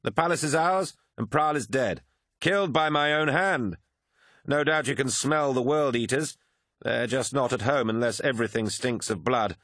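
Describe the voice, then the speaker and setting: A man's voice: mid-range voice, moderate pace, fairly bright tone, no echo, clean studio sound, voice pushed up, natural voice. A man voicing a proud, petulant elite swordsman in an audiobook, with a haughty, emphatic delivery. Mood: cocky.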